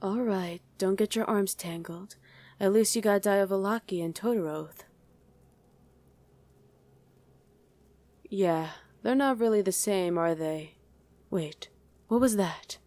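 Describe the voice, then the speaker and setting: A woman's voice: medium pitch, a little slow, dark tone, natural voice. A woman playing a wry, easily irritated demon in a conversational ASMR roleplay, chatting and bickering with a partner. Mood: musing. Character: female demon on mortal-hunting duty, wry, easily irritated. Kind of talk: ASMR roleplay acting to an unheard listener.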